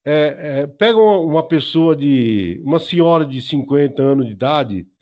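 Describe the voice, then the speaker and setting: A man in his early seventies with a Brazilian accent speaks in a somewhat high voice. He explains informally, like an instructor being interviewed, often checking that he is followed. The recording is dull and lo-fi. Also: expressive, moderate pace. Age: early 70s. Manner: didactic, often adds checking tags. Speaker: senior Aikido instructor, interview guest.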